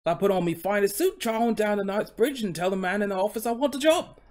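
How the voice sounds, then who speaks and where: A man's voice: high-pitched, quick pace, a little mellow, voice pushed up, lightly acted. A man voicing a proud, chatty, aggrieved talking London coach horse in a put-on Cockney accent, with deferential but boastful patter. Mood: indignant. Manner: colloquial, deferential, boastful working-class patter.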